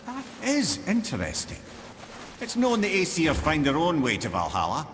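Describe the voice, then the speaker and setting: Learned old scottish guide in a game, chatty storyteller, lots of up and down, water noise behind. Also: male voice, a little high, huge pitch swings, normal speed, a bit bright. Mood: helpful, wry. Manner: articulate, loquacious, storytelling. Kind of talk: video game dialogue acting.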